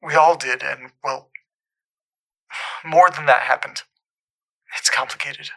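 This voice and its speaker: An actor playing a troubled caller in an audio drama, haltingly and earnestly recounting an eerie supernatural memory. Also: male voice, mid-range voice, steady tone, vocal fry, pushed and bright, heavily acted, poor audio. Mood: uneasy, haunted.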